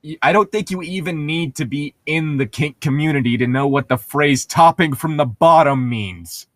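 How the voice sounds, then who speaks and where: A man's voice: fairly high pitch, moderate pace, a bit bright, dry recording. A man co-hosting a podcast in casual joking banter over a book being read aloud, sarcastic and teasing. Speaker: podcast co-host.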